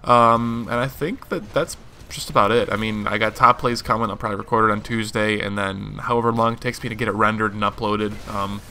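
A hoarse young man in his twenties, rambling casually through a channel update for his viewers. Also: lowish voice, brisk, slight background noise. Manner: rambling, relaxed, many fillers. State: hoarse from allergies. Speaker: gaming channel host.

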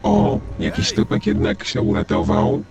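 A man's voice: low-pitched, huge pitch swings, moderate pace, gravelly, over music. A man narrating a video game as a story, speaking as the player character.

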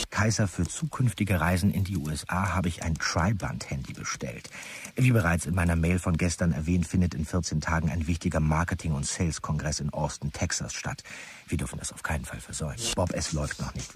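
An actor playing a pedantic, self-important marketing chief dictating formal messages in a German audio drama. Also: male voice, extremely low pitch.

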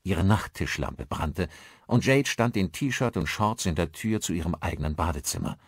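A man's voice: low voice, lively intonation, normal speed, slightly rough, no room sound, clean studio sound. A man reading a scripted crime horror audiobook as narrator, with dramatic storytelling delivery.

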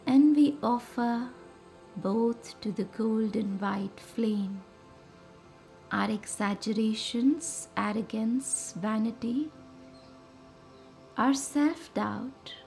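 Very slow woman guiding meditation. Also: fairly high pitch, creaky, a little mellow, poor audio. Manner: soothing, measured delivery in short pausing phrases. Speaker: meditation guide and host. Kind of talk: guided meditation with slow, reverent visualisation. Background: music.

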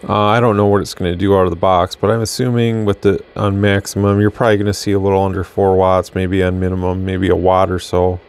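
An American man with a low-pitched voice talks through a product demo. His delivery is casual and conversational, wandering from point to point as a hobby reviewer would. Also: flat delivery, quick pace, hardly any pauses, clear, resonant tone. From Michigan, United States. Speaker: radio reviewer.

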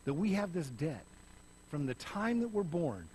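A middle-aged man preaching on a church stage, swinging between loud emphasis and soft, hushed passages. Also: on the high side, big pitch swings, unhurried, slight background noise, low-quality recording. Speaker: pastor. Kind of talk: sermon. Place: church stage, in front of a lit backdrop.

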